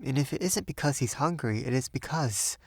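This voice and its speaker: A frightened young woman pleads in a soft, trembling voice, as a male reader lifts his voice well above his own. The sound is dry and close, with no room around it. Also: fairly high pitch, animated, measured pace, creaky, no room sound, voice pushed up. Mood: anxious.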